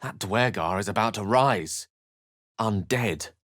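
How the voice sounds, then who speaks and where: A man's voice: lowish voice, takes it slowly, fairly bright tone, no room sound, clean studio sound. A man voicing an eloquent, bookish wizard in a fantasy video game, wry and courteous, with articulate, dramatic phrasing. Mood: wary. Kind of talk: theatrical fantasy game dialogue and storybook-like narration.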